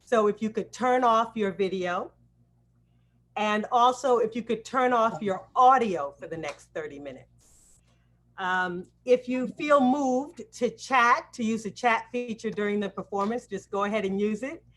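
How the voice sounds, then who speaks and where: A woman giving a welcome address as host on a live video call, with deliberate, emphatic phrasing. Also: on the high side, fairly bright tone. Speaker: acting coach hosting a student presentation.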